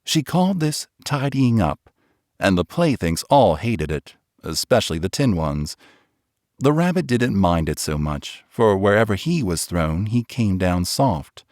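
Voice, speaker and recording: Low, expressive male storyteller. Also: no room sound, studio quality. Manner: gentle, expressive, slowing on tender lines. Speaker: audiobook narrator. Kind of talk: scripted storybook narration.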